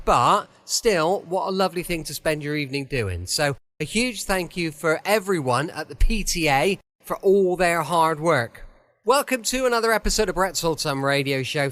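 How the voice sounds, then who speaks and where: A British man hosting a podcast, chatting in a relaxed, courteous, conversational way. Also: a little high, dry recording. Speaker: podcast host. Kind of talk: relaxed, chatty show introduction.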